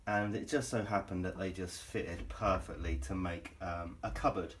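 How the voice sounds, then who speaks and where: A man giving a chatty, wry, informal guided tour in a hesitant way. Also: fairly low pitch. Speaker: boat owner and builder giving a tour. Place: large cluttered covered deck space inside a boat. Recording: echoey boat interior with background noise.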